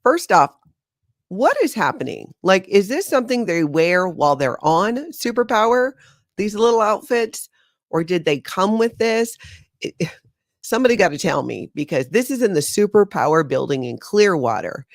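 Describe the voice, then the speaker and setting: Middle-aged woman from Hawaii, chatty livestream host, mid-pitched voice, dry close sound. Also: normal speed, no room sound. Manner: chatty, animated, incredulous asides. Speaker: channel host. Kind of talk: casual livestream commentary.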